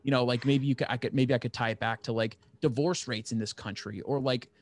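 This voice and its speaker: A man's voice: medium pitch, steady tone, fairly quick. A man interviewing in a casual, rambling way, thinking aloud as he forms his questions. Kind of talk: casual, loosely brainstormed interview chat.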